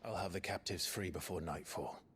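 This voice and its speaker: An actor playing a resolute, stubborn outlaw leader in a video game, terse, calm and determined. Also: male voice, low-pitched, a little fast, a little hiss or hum. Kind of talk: video game cutscene acting.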